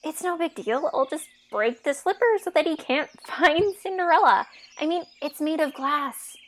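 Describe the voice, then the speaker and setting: A woman as a high-voiced sarcastic fairytale heroine. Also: animated, moderate pace. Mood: irritated, dismissive. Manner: sarcastic, modern casual speech. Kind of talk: audio drama acting.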